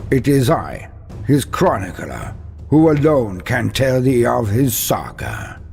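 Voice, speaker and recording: A man's voice: mid-range voice, very animated, takes it slowly, gravelly, a little mellow, faint music behind. A man delivering dramatic scripted fantasy narration in a grandiose, wry manner with ornate old-fashioned phrasing. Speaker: storyteller, narrator of fantasy war lore.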